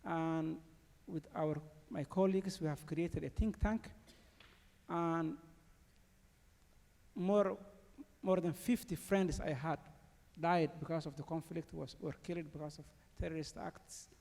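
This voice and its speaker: Somali man, think tank panelist, gravelly and halting, reverberant hall. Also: fairly high pitch, steady tone, darkish tone. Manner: halting, many fillers. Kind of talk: personal panel statement. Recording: long reverb of a large hall.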